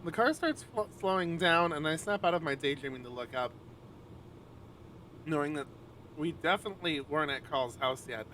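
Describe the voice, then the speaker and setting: A man livestreaming a visual novel, mixing expressive, sweary casual chat with reading the narration aloud. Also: fairly high pitch, moderate pace, long pauses, slight background noise, low-quality recording.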